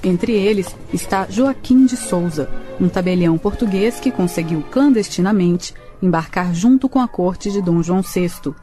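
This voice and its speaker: A woman's voice: on the low side, moderate pace, dark tone. A woman reading scripted storytelling narration for a radio drama. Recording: faint music behind.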